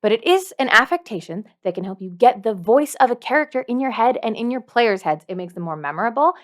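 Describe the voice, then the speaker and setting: A woman's voice: on the high side, expressive, fast talker. A woman presenting a scripted tutorial to camera as a dungeon master, emphatic and playful, with dry asides. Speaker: video host, dungeon master.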